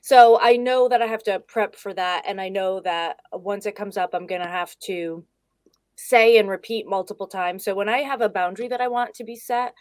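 A woman's voice: medium pitch, normal speed, no room sound. A woman speaking as a guest expert on a video call, casual, candid and chatty. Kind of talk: casual conversation.

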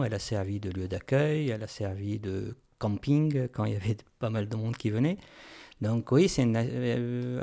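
A man in his forties from Lebanon, a podcast guest speaking calmly and thoughtfully in a large room of his home, pausing on fillers. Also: mid-range voice, gravelly. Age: 40s. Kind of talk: reflective conversation.

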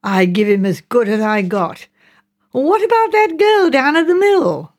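A woman performs a talkative, working-class village mother from a storybook. Her pitch leaps about as she rambles on with indignant insistence. She stays respectful, full of deferential address, yet will not be interrupted. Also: sing-song, measured pace. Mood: earnest, voluble. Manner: rambling, deferential, repeating "sir", ungrammatical rustic speech. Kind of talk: audiobook character dialogue.

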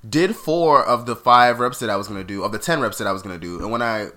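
A man co-hosting a casual podcast while sick, his voice a little nasal yet bright and forceful. He tells stories with frequent laughter and sudden jumps in energy. His pitch sits on the lower side. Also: quick pace. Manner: animated, laughing storyteller. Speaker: podcast co-host.

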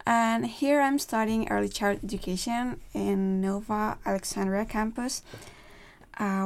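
A woman's voice: on the high side, clear, resonant tone. A woman from Indonesia, a student of early education, speaking in a giggly, shy way.

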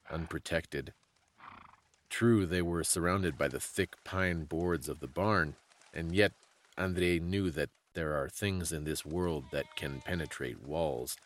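A man giving a dramatic scripted story reading as a narrator, solemn and suspenseful, with deliberate phrasing. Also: a bass voice. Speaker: storyteller and podcast host.

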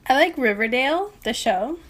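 A woman co-hosting a casual podcast chat, speaking haltingly with plenty of "like" in her sentences. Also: high voice, expressive, a bit bright. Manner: halting, heavy use of "like". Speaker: podcast co-host.

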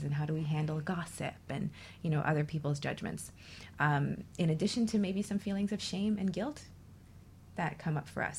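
Low voiced woman hosting a reflective talk show, bit of vocal fry. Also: a little mellow, slight background noise.

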